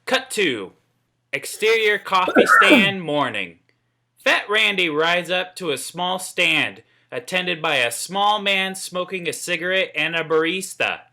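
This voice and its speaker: A man reads screenplay narration in a very bright, forceful voice, pitched a little high. He sounds like he is at an informal read-through, stumbling now and then. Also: expressive, a little slow, a little hiss or hum. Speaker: table reader of script narration and dialogue. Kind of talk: scripted narration read aloud.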